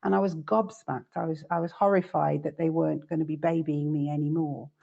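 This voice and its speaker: A woman with a very low, gravelly voice talks about her family at an easy, hesitant pace, often trailing into ums. Her tone is dark and mellow, and the recording sounds dull and lo-fi. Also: fairly even intonation, fairly quick. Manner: hesitant, many ums and restarts, laughs easily. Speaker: guest. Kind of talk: casual interview chat.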